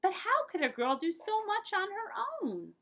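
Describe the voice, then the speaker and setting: Very animated woman reading a storybook. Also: very high-pitched, moderate pace. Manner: animated, dramatic storytelling emphasis with friendly asides. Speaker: librarian reading aloud. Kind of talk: expressive children's picture book read-aloud.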